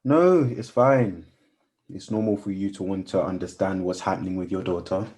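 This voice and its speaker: A man's voice: sits low, normal speed, a little mellow, lightly acted. A man playing an earnest, guarded best friend in a radio play, sometimes hesitant. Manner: earnest, hesitant, evasive when pressed.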